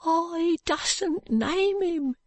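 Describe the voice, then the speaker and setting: A woman voicing a frightened, pitiful ragged street boy with a high, cracked, tearful voice and slurred street speech. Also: drawn-out words, dry recording, exaggerated voice. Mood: fearful.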